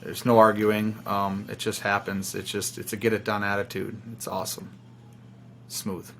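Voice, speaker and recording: A man's voice: fairly low pitch, monotone, moderate pace, a little hiss or hum. A man chatting casually as an interviewed musician, rambling informally and piling up phrases. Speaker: band singer-songwriter being interviewed.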